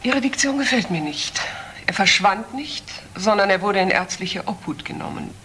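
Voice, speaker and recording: An actress playing a curt, authoritative older aunt, a proud doctor, in a crime drama. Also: female voice, lively intonation, moderate pace, pushed and bright, recorded from a distance. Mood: stern, curt. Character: stern, proud woman doctor, a young man's aunt. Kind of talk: German crime drama acting. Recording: background noise, car rumble in places.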